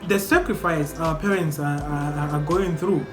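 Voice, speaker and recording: A young man hosting a casual group discussion among medical students, asking questions in an animated way. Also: fairly high pitch, measured pace. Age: young adult. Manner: animated, questioning, laughs often. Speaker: host. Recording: echoey room with music behind.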